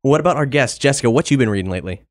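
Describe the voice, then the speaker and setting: A man's voice: medium pitch, animated, rapid-fire. A man hosting a casual podcast in a playful, bantering way with a touch of mock grandness. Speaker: podcast host.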